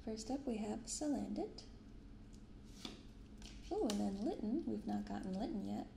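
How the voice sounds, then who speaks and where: A woman in her twenties speaking softly and gently in a casual, unhurried ASMR style as she hosts an unboxing. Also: medium pitch, lively intonation. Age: 20s. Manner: soft-spoken, gentle, unhurried. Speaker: host of a card-opening ASMR channel. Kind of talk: soft-spoken ASMR, casual unboxing chat. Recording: roomy binaural recording with clear background noise.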